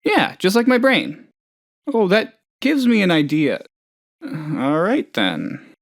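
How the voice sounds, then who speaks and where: A man's voice: sits high, wildly swooping pitch, slow, gravelly, dry recording, clean studio sound, heavily acted. A man playing a hapless, trusting, lonely oddball in a video game, talking in a rambling, hesitant, good-natured way. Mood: earnest, naive. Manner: rambling, hesitant, naive, chatty. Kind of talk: video game character dialogue.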